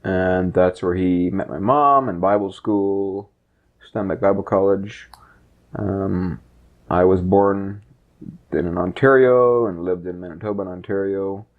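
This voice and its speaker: A man in his thirties speaks at an unhurried, slow pace in a reflective manner, often rephrasing as he goes. His voice sits a little low, and the recording sounds muffled and lo-fi. He comes across as someone thinking aloud in an unscripted discussion. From Canada and Texas. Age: 30s. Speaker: project co-creator and interviewer. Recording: dull, lo-fi sound.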